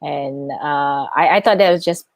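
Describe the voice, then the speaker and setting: A woman's voice: deep voice, a little slow, gravelly, dry recording. A woman hosting an interview, chatty and encouraging as she asks her guest questions. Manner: chatty, encouraging, laughs easily. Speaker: host. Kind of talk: live-streamed interview.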